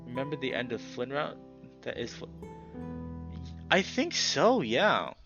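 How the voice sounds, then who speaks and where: A man's voice: mid-range voice, a little slow, lo-fi sound, music in the background. A man hosting a let's play, reading a visual novel aloud and commenting casually and expressively. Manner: expressive reactions, swears casually.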